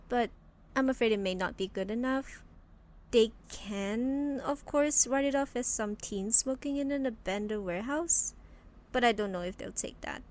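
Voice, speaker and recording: A woman's voice: on the high side, lightly acted. A woman playing a deferential, formal female underling in a crime audio drama, with an earnest, careful manner. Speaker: voice actor. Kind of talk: audio roleplay drama acting.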